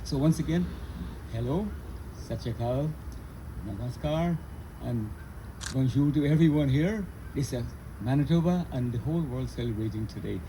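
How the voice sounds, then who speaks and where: A man from Guyana giving an informal speech of thanks in deliberate, broken phrases with pauses. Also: medium pitch, mellow, poor audio. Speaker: speaker giving a vote of thanks. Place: live ceremony at a statue. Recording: live event with vehicle noise.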